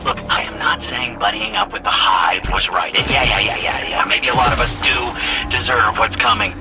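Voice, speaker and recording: An actor playing a nervous, eager-to-please defector robot in a game cutscene, chatty, evasive and stammering. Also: male voice, medium pitch, normal speed, lightly acted. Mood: earnest, pleading. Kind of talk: video game cutscene acting. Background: loud background music.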